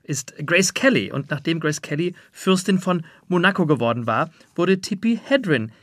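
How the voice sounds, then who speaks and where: High-voiced male interview host. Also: expressive, normal speed, fairly bright tone, no room sound, studio quality. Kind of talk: prepared interview moderation.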